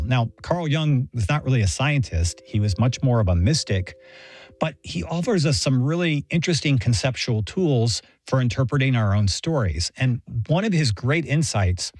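A man's voice: mid-range voice, darkish tone, no room sound. A middle-aged American man speaking as an interview guest, explaining ideas conversationally in a thoughtful, professorial way. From Texas, United States. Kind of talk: conversational explanation and personal anecdote.

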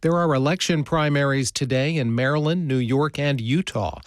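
A man's voice: a little high, normal speed, no room sound, clean studio sound. A man reading a scripted radio newscast.

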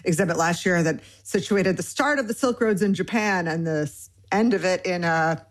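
A woman's voice: on the low side, moderate pace. A woman in her forties from Michigan, a cookbook author in an interview, talking eagerly in long winding lists with plenty of fillers. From Michigan, United States. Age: 40s. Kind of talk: conversational interview.

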